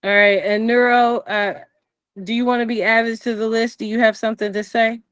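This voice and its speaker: A woman's voice: fairly high pitch, flat delivery, moderate pace, a bit bright, no room sound. Woman host, keeps order, dry close sound. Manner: calls on speakers, smooths conflict. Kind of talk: moderating a live audio room.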